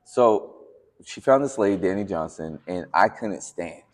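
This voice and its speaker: A laid-back man tells a story on a podcast, his voice on the low side. He keeps it light with jokes and playful asides. His voice rings with a long, hall-like reverb. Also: lively intonation, unhurried. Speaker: podcast co-host, fitness coach. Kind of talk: casual storytelling chat.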